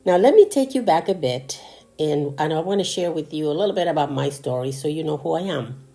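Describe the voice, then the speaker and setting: A woman's voice: deep voice, lively intonation, brisk, mellow. An older woman from Argentina with a Spanish accent, hosting a podcast in an earnest, personal, motivational monologue. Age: 60s or older. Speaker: podcast host.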